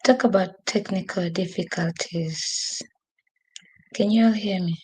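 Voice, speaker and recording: A woman's voice: medium pitch, steady tone, a little slow, darkish tone, slightly rough, poor audio. A woman hosting a casual live stream from home, chatty and rambling with frequent laughs, saying she is losing her voice. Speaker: podcast host. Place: newly moved-into home, desk by a window. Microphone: computer microphone.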